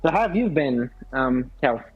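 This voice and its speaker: A man with a husky voice and average pitch, talking casually. He explains things in a halting way, circling back over his phrases. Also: animated, lo-fi sound, faint music behind. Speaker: guest on a live work stream. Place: hotel room.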